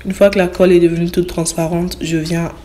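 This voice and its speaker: A young woman with a cold, narrating a hair tutorial voice-over in a relaxed, step-by-step way. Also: lowish voice, brisk, faint music behind. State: has a cold. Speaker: beauty YouTuber.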